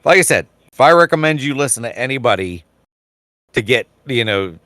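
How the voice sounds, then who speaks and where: A man's voice: medium pitch, expressive, fairly quick, pushed and bright, no room sound. A man co-hosting a podcast in casual chat, rambling with many fillers and restarts. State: losing his voice, clearing his throat. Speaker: podcast co-host.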